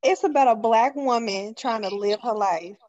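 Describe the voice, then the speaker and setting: A woman with a fairly high voice chats as a guest. She is expressive and playful, and her audio sounds a bit dull and muffled. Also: normal speed. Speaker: voice cast member, guest. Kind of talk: casual chat.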